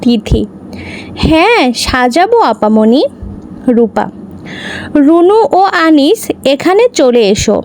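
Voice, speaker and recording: A woman storyteller with a high-pitched voice. Her intonation moves widely as she reads a scripted children's tale in short, separated phrases. Some background noise sits behind the voice. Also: big pitch swings, slow, pauses often, darkish tone. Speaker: storyteller, channel host.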